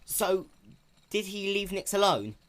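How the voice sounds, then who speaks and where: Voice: medium pitch, sing-song, unhurried, a bit bright, pitched above the performer's own voice. A performer voicing a casual, apologetic young boy dragon with a youthful, slightly whiny manner. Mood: puzzled.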